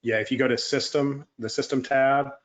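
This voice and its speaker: A man's voice: medium pitch, fairly even intonation, moderate pace. A man co-presenting a live technical webinar, answering questions in a casual, careful and somewhat tentative way. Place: livestreamed online session.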